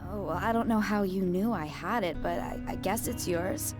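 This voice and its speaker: A woman's voice: mid-range voice, moderate pace, over music, close to an everyday voice. A woman as a chatty new-age mystic. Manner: chatty, breezy, airy, eccentric. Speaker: motel resident, self-declared psychic. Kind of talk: game cutscene acting.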